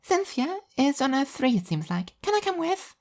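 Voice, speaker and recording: A man's voice: very high-pitched, big pitch swings, moderate pace, pitched above the performer's own voice, heavily acted. A man voicing a small, eager, childlike girl angel in an audiobook story. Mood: eager, curious.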